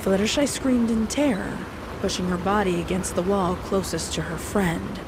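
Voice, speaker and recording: A woman's voice: medium pitch, normal speed, very noisy. A woman narrator reading a scripted, dramatic story.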